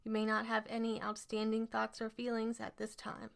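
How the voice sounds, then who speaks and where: A woman leading a scripted guided relaxation exercise as an instructor, speaking in measured, instructional phrases. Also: on the high side, completely flat delivery, vocal fry.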